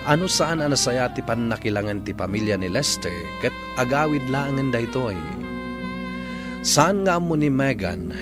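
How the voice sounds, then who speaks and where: A man delivering dramatic scripted narration as a radio drama announcer. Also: medium pitch. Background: music.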